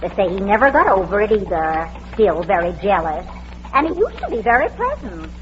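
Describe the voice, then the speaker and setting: A woman's voice: medium pitch, sing-song, brisk, close to an everyday voice. A woman playing a folksy, gossipy, eager housekeeper in a 1940s radio comedy mystery. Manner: eager, chatty, colloquial. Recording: muffled, hissy vintage radio recording.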